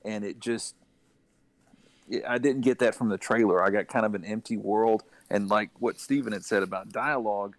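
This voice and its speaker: A man's voice: on the low side, moderate pace, dry recording. A man co-hosting a casual gaming discussion, sharing opinions as he thinks them through aloud. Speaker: gaming podcast co-host.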